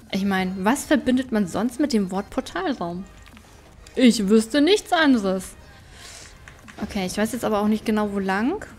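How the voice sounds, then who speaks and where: A woman's voice: medium pitch, a little mellow. A woman giving casual let's play commentary, chatty and thinking aloud as she goes. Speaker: gaming streamer and let's play host.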